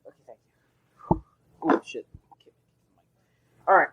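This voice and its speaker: A man's voice: unhurried, dull audio, background noise. A young man co-hosting a casual gaming podcast, rambling and often restarting his sentences. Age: young adult. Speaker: podcast co-host.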